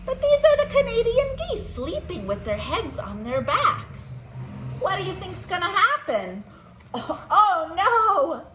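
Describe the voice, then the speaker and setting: A woman with a high, bright voice reads aloud to young children at an unhurried pace. She is sing-song and animated, putting simple questions to her listeners. The room gives her voice an audible echo. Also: a little hiss or hum. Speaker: children's librarian hosting musical storytime. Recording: roomy echo.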